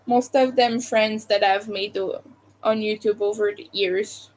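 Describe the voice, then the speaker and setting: A woman's voice: mid-range voice, steady tone, a little slow, darkish tone, low-quality recording. A young adult woman with a Montreal accent, chatting loosely with viewers on her live stream, rambling and hesitant, with many fillers. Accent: Montreal Quebec. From Quebec, Canada.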